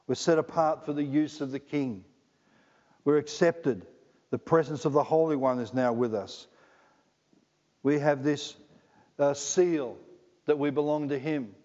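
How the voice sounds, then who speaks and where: A man's voice: a little high, darkish tone. A middle-aged man with an Australian accent preaching a sermon in a conversational, joking way, often asking the congregation questions. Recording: dull lo-fi recording in a reverberant hall.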